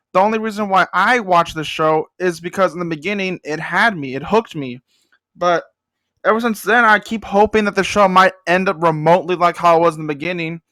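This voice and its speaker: A man's voice: high-pitched, bright, forceful tone, no room sound. A young man hosting a casual comedic anime commentary, rambling with self-deprecating jokes muttered under his breath. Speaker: host.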